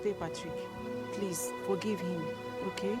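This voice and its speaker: An actress playing a pleading peacemaker in a Nigerian film drama, with a Nigerian accent. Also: female voice, high-pitched, very animated, a little fast, warm tone, faint music behind. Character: pleading peacemaking young woman calming angry men.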